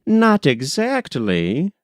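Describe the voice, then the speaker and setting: A hearty middle-aged working man, acted out by a male narrator, speaking up in a raised, lively pitch. He is forthright and decided, a plain man of few words in a storybook scene. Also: very slow, dry recording, studio quality, heavily acted. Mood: frank, decided.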